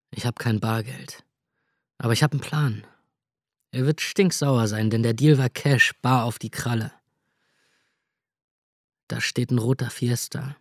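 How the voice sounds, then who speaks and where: A man's voice: medium pitch, no echo, studio quality. A young actor playing an anxious young man in a radio drama, narrating in terse lines and pleading in scenes. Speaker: first-person narrator.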